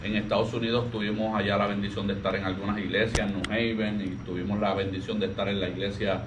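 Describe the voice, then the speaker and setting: A man in his forties with a Puerto Rican accent, a pastor talking about his life in a relaxed interview, soft-spoken and halting with frequent restarts. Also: on the low side. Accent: Puerto Rican Spanish. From Puerto Rico. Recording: distant mic with faint ambient noise.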